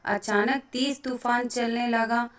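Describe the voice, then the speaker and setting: Female narrator for children's fables, slightly high voice, scripted storytelling. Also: steady tone, takes it slowly.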